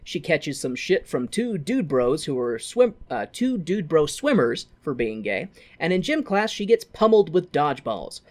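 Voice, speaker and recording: A man's voice: on the high side, sing-song, normal speed, a little mellow. A man with an American accent giving a casual, sweary recap with wry asides, as a podcast co-host. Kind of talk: casual film recap with commentary.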